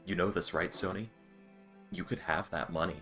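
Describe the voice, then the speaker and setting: Deep-voiced ranting man at a game table. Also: steady tone, moderate pace, sharp tone, soft background music. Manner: profane, ranting, opinionated. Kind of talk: casual chat at a tabletop game stream. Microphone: streaming mic.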